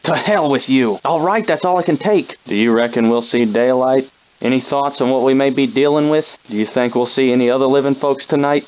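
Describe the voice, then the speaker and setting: Guy doing a folksy old timey soldier sidekick for a video game, mid pitched, plucky but rattled, kinda muffled lofi audio. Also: fairly quick, close to an everyday voice. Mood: tense, dutiful. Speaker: game character companion. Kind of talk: scripted video game character acting, old-time dialect.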